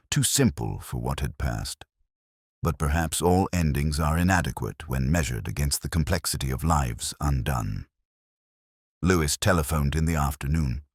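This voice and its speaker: A man reading a scripted first-person detective story in a brooding, measured, literary manner. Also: extremely low pitch, no room sound, clean studio sound. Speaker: audiobook-style narrator.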